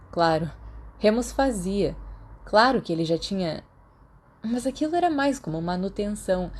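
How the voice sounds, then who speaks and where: Woman, Brazilian accent, slightly low pitch, dark mellow tone, scripted story narration. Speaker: audiobook narrator.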